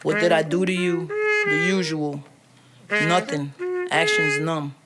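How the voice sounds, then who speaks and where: A man's voice: on the high side, slow, over loud music. A man reciting spoken-word poetry in short rhymed phrases. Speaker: prison poetry student. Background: trumpet playing.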